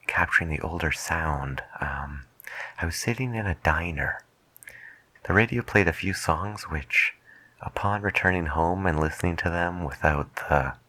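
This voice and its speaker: Man playing a very deep, hushed late-night radio DJ. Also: dry recording, exaggerated voice. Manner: musing, hushed, deliberate. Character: soft-spoken, musing late-night radio DJ. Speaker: radio host. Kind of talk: soft-spoken ASMR radio DJ roleplay.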